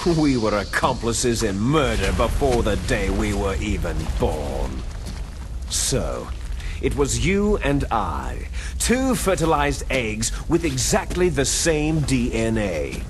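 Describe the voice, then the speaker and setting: An actor playing a bitter, gloating villainous clone soldier in a video game, with a menacing, taunting, theatrical delivery. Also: male voice, mid-range voice, big pitch swings, slow, over music. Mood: grim, revealing. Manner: menacing, taunting, grandiose monologuing. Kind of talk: dramatic video game cutscene acting.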